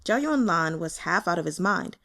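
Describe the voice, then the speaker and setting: A woman narrates fiction in an expressive audiobook style. Her pitch is lively and varied as she reads. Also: moderate pace. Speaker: audiobook reader.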